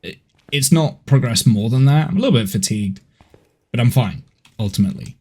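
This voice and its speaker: A man's voice: mid-range voice, lively intonation, brisk, warm tone, breathy edge. A man in his thirties with a head cold, chatting casually and rambling as he streams a horror game from home. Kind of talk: casual gameplay chat on a live stream.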